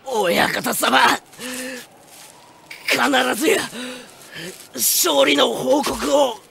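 A man's voice: very high voice, very slow, creaky, full character voice. An actor playing a hot-blooded, loyal young samurai spearman in an anime drama CD, speaking in fervent, archaic warrior language. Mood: wounded, straining. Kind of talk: anime game drama CD acting. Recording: loud background music.

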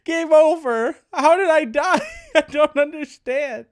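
A man's voice: very high voice, unhurried. A man giving casual, bemused commentary while playing a game. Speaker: gamer.

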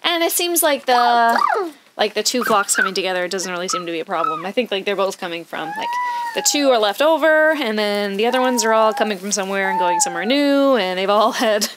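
A woman's voice: medium pitch, animated, a little fast. Sad woman vlogging in her backyard. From Canada. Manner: soft-spoken, rambling with many fillers. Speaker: homestead vlogger. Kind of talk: casual vlog to camera. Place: outdoors in a rural backyard. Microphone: camera held at arm's length. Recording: outdoor camera audio with background noise.

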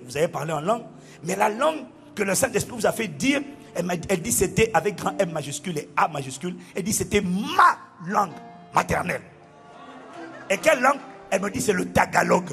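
High-voiced preacher, animated, over music. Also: male voice, gravelly. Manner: animated, exclaiming, bursts into shouting and laughter. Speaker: pastor. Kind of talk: sermon reading out testimonies. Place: large church auditorium with a crowd. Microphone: handheld mic.

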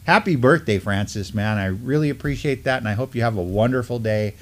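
A middle-aged man co-hosting a casual news live stream, talking in a halting, rambling, conversational way. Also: mid-range voice. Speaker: morning show co-host. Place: indoor studio desk in front of a backdrop.

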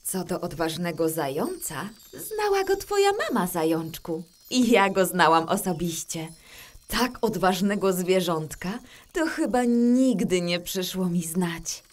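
A woman voicing a kindly female forest fairy who tells a tale in a children's audio drama, warm and chatty. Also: fairly low pitch, sing-song, a little slow. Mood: friendly. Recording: soft music underneath.

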